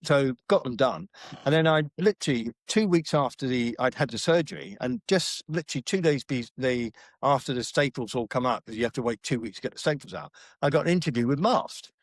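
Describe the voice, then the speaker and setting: A man's voice: mid-range voice, lively intonation, brisk, no echo. Middle-aged man, blunt ex-forces storyteller, mid-range voice, dry close mic. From Britain. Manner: blunt, frequent restarts and fillers, salty slang. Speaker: podcast guest. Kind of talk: casual storytelling interview.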